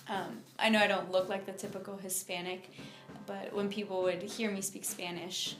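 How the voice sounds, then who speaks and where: A young adult woman from Miami telling her personal story in an interview, speaking informally and haltingly with lots of filler phrases. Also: medium pitch, flat delivery, reverberant, music in the background. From United States (Miami, Florida). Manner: informal, halting, frequent "like" and "you know".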